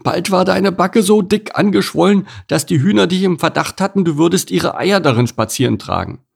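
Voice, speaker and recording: A man's voice: high-pitched, lively intonation, moderate pace, gravelly, breathy edge, voice pushed up, heavily acted. A man voicing a blunt, grumbling farm pig with sarcastic, exaggerated complaints in a storybook. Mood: teasing. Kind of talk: storybook character voice.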